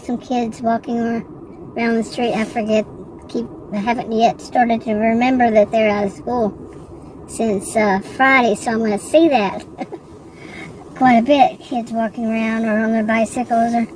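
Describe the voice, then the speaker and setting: A woman's voice: fairly high pitch, flat delivery, measured pace, distant mic, rough recording. A woman with a Texan accent chatting in a loose, rambling way, often stopping to correct herself. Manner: rambling, digressive, self-correcting. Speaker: vlogger. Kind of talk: casual rambling chat. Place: car. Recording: loud traffic noise, voice off-mic.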